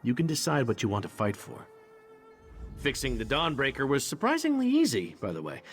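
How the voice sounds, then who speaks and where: An actor playing a charming, candid knight in a fantasy video game, wry and conversational, hesitant when confiding. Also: male voice, mid-range voice, wildly swooping pitch, brisk, soft background music. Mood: reflective, wry. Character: charming, candid male knight champion of a goddess. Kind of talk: video game character dialogue.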